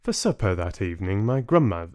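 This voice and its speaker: A man in his twenties reading a children's story aloud in an expressive audiobook style. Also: deep voice, a little fast. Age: 20s. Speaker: reader and book club host. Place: small home room, indoors.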